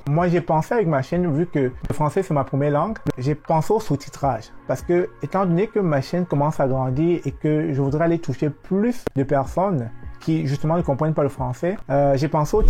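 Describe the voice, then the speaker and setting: A man's voice: a little high, dark tone. A man from Cameroon, a guest youtuber, explaining his views earnestly in a casual discussion. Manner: earnest, explanatory, many fillers.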